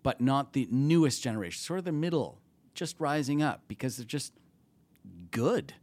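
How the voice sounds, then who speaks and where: A man's voice: mid-range voice, lively intonation, moderate pace, a little mellow. A Canadian man, a television producer for a comedy festival, talking casually on a podcast and often trailing off mid-thought.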